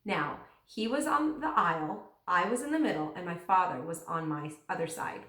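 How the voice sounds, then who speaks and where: A woman's voice: fairly low pitch, big pitch swings, normal speed, a bit bright. A woman reads a children's book aloud like a teacher, telling the story expressively and acting out the dialogue. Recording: roomy echo, distant microphone.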